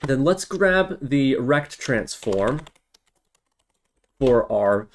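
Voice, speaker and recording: A man's voice: mid-range voice, sing-song, drawn-out words, a little hiss or hum. A man giving an informal tutorial, thinking aloud step by step as he works on screen. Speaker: tutorial instructor.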